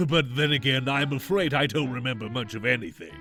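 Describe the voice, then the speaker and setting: A man voicing a gentle, forgetful older male inventor who chuckles and speaks kindly and sounds puzzled. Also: mid-range voice, fairly quick, soft background music, natural voice.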